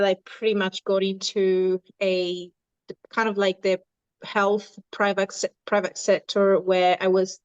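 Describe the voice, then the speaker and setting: A woman in her thirties from Venezuela, a dietitian and nutrition coach, answering podcast questions in unhurried, halting speech with many restarts. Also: mid-range voice, no echo, muffled recording. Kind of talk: podcast interview.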